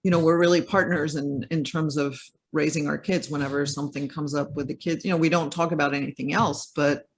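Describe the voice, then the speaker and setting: A man's voice: on the high side, steady tone, brisk, few pauses. An interview guest talking casually from his home, hesitant, with many fillers and restarts. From Belgium or United States. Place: home in a French village.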